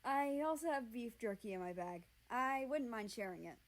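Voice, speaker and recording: A woman voicing a friendly, considerate male healer in a fantasy storybook, explaining things gently. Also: on the high side, expressive, moderate pace, lightly acted. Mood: friendly, offering.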